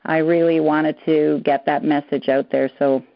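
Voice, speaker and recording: A woman's voice: sits low, flat delivery, normal speed, creaky, no room sound. Earnest German-native woman psychotherapist. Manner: earnest, searching for words. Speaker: psychotherapist guest. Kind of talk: interview answers. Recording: dull dry lo-fi sound.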